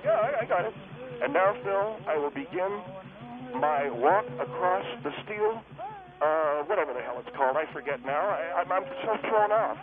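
A man voicing an excitable, touchy, self-justifying husband in a comic radio act, over a tinny phone line. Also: high voice, normal speed. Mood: pompous, flustered. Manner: indignant, blustering, self-justifying. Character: excitable, touchy husband attempting a hot-steel walk.

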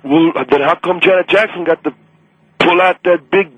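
A man's voice: sits high, fairly even intonation, moderate pace, dry recording, muffled recording. A young man calling in to a talk radio show, brash, mocking and crude as he argues with the host and another caller. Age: young adult. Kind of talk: heated talk radio call.

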